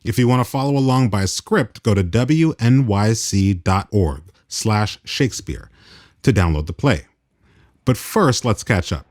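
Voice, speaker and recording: A man's voice: fairly low pitch, lively intonation, a little slow, a little mellow. A man hosting a radio program, mixing scripted narration with a conversational delivery. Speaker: radio host.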